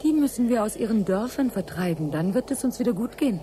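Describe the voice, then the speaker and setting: A woman's voice: on the high side, animated, fast, mellow, a little hiss or hum, lightly acted. An actress playing a bitter, skeptical woman colonist, blunt and embittered, in a radio drama. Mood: scornful. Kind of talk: German radio drama acting.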